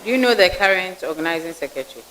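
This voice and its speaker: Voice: medium pitch, expressive, rapid-fire, fairly bright tone, slight background noise, low-quality recording. Blunt vetting panelist. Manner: blunt, probing and critical. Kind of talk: interview questioning.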